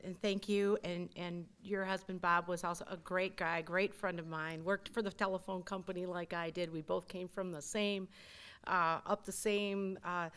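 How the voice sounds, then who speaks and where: Brief: woman with a smooth, resonant voice, hesitant podium remarks with fillers. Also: medium pitch, a bit bright. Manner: plain, hesitant with uh and um. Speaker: local elected official. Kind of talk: ceremony speech. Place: library dedication ceremony.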